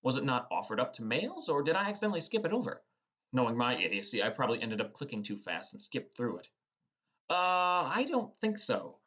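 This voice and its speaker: A man narrates a fantasy audiobook in the first person. His reading is scripted storytelling with a lively, moving pitch. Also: fast talker, breathy edge.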